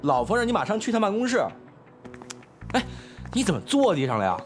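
A man's voice: high-pitched, very animated, a little fast, soft background music. A young man acting in a Chinese audio drama as a brash, impatient but protective schoolboy with a blunt, slangy way of talking. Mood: brisk, casual.

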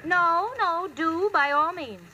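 High-voiced, sardonic young woman. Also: slow pace, loud music behind the voice. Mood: wry, exasperated. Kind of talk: 1940s-50s radio comedy acting. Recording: noisy old-time radio recording.

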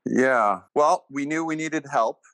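A man giving a conversational technical explanation as a panel guest, thinking aloud in a halting way. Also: fairly high pitch, a bit bright, dry recording.

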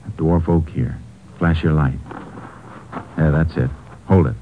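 A very deep-voiced, terse Texas Ranger. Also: male voice, fairly even intonation, measured pace. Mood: watchful. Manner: terse, matter-of-fact, authoritative. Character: steady, shrewd, authoritative male Texas Ranger. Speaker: lead character and narrator. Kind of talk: 1950s radio drama acting and narration. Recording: tinny old radio recording, some noise.

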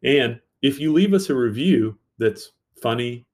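A man's voice: mid-range voice, expressive, a little slow, darkish tone. A man co-hosting a podcast, introducing the episode in a rambling, enthusiastic way with many fillers. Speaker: podcast co-host.

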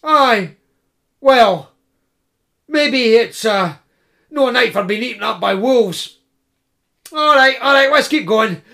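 A man voicing a blunt, gruff male student companion. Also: very high-pitched, animated, takes it slowly, pauses often, bright, forceful tone, voice pushed up, exaggerated voice.

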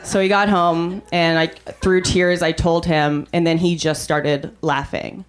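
A woman's voice: lowish voice, little pitch movement, measured pace, slight background noise. A woman from Canada in her thirties performing a stand-up set on a club stage, chatty and laughing at her own jokes. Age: 30s. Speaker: stand-up comedian. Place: comedy club stage with audience.

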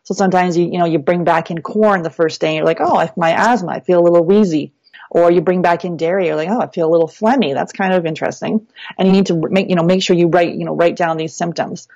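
Low, chatty woman guest, explains step by step, close dry mic. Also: fairly even intonation, fast talker, a little mellow, no room sound, muffled recording. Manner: chatty, explains step by step with many "you know" and "like" fillers. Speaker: fertility coach and guest. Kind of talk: casual explanatory interview talk.

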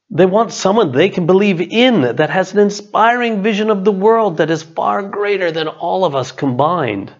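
A middle-aged man reading his own inspirational leadership writing as scripted narration, measured, with emphatic pauses before contrasting phrases. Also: medium pitch, dull audio. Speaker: author reading own book chapter.

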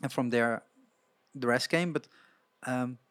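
A man's voice: medium pitch, slow, a bit bright, smooth, no room sound. A man interviewing a guest, asking questions hesitantly with repeated words.

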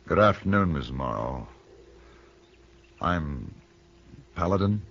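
A grave Western gunfighter, American and middle-aged, with measured courtesy. His low, gravelly voice moves expressively through the lines of an old radio drama with a dull sound. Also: very animated, a bit bright, exaggerated voice. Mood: somber, gentle. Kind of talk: 1950s radio western acting. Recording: muffled 1960 radio broadcast.

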